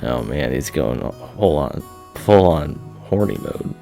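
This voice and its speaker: Low, gravelly man, slow pace. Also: expressive, warm tone, faint music behind. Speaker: host of a visual novel read-aloud. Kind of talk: casual chat and story narration.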